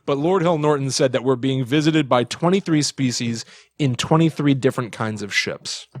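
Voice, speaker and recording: A man hosting a podcast in casual conversation, retelling things and slipping into animated quotes of other people. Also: medium pitch, moderate pace, no room sound. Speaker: podcast host. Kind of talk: casual chat, retelling and quoting.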